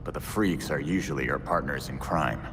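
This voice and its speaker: Game vigilante: young man, gruff and blunt, very low pitch, dry sarcasm, long reverb. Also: moderate pace, noisy background. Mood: grim, dry. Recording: long reverb under music.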